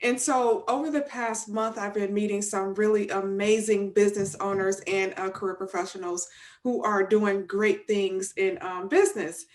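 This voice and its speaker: A woman's voice: medium pitch, fairly even intonation, normal speed. A woman hosts a career podcast interview. She sounds upbeat and encouraging as she asks her questions. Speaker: podcast host.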